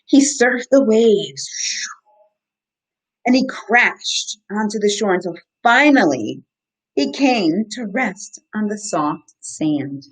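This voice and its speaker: A woman in her thirties, an educator reading to children in an animated, questioning way and explaining as she goes. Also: mid-range voice, slow, breathy edge, low-quality recording. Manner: animated, asks the listeners simple questions. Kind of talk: interactive children's story time, reading and explaining.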